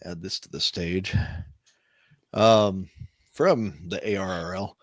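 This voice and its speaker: A man's voice: deep voice, steady tone, takes it slowly, fairly bright tone, no echo. A man co-hosting a tech show, speaking casually in a panel discussion.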